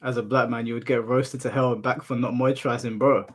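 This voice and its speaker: A man's voice: mid-range voice, fast, husky. Laid-back man chatting to a stream. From United Kingdom. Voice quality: monotone voice. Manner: relaxed, laughs off insults, addresses chat as bro. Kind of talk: casual livestream chat reading chat. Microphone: headphones in, desk mic.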